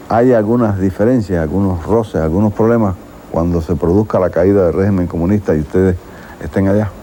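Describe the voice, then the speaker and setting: A man's voice: lowish voice, dark tone, a little hiss or hum. A man with a Cuban accent asking questions as a conversational documentary interviewer.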